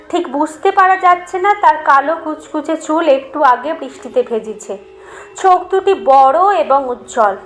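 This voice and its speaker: A woman reading a scripted eerie story as a narrator, in an expressive, measured storytelling manner. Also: high-pitched. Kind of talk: dramatic scripted narration of a horror tale.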